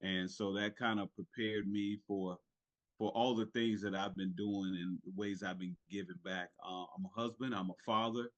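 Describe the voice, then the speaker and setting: Guest spot: middle-aged man with a lowish voice, earnest and halting, retired navy chief telling his story. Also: steady tone, a bit bright, muffled recording. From South Carolina, raised in Italy. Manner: earnest, lots of fillers and restarts. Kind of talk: casual podcast interview.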